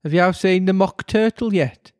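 A man voicing a tyrannical, threatening storybook queen with a Birmingham accent, bossy and loud in manner. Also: high-pitched, moderate pace, no echo, studio quality, voice pushed up, natural voice. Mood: furious, imperious.

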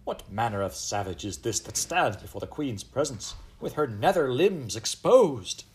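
A man's voice: medium pitch, very animated, normal speed, a little mellow, pitched above the performer's own voice, close to an everyday voice. A man voicing a haughty, vain middle-aged Elizabethan queen with grand, archaic, commanding speech in a storybook reading. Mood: indignant, imperious.

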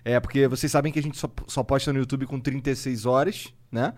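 A man's voice: mid-range voice, steady tone, brisk, a little mellow. A man from Brazil co-hosting a podcast, chatting casually and tossing in joking asides. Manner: laid-back, joking asides. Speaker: podcast co-host.

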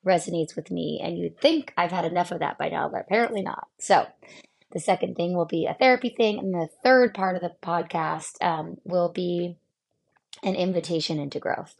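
A woman in her thirties speaks as a therapist on her own podcast, her voice low and gravelly with fry. She is losing her voice, and she talks in a halting, reflective way with plenty of pauses and fillers. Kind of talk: solo podcast talk.

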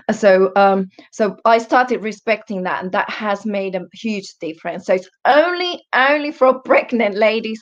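Dull-sounding recording, woman. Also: mid-range voice. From Europe, Finnish, born in Germany. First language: Finnish. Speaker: guest, health coach. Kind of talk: casual interview chat.

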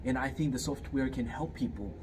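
A man's voice: medium pitch, brisk, darkish tone. Young man, distant and hesitant. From Fiji. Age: 20s. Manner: hesitant, many fillers and restarts. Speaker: guest, student. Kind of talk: casual interview answers. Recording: distant mic, clear background noise.

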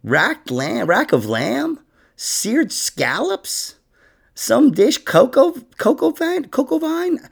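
A man's voice: very high voice, big pitch swings, slow pace, vocal fry, husky. A man hosting a casual comedy podcast, joking and rambling as he chats with his guest. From New York.

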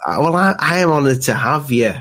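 A man's voice: medium pitch, animated, moderate pace, fairly bright tone. A man of about forty hosting a live podcast, chatting bluntly with jokes and swearing. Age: around 40. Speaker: podcast host. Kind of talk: casual live-stream chat.

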